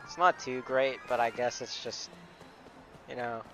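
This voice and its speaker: A young man streaming a game with casual, halting, slangy commentary. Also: mid-range voice, flat delivery, low-quality recording. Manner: laid-back, halting, slangy. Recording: game music underneath.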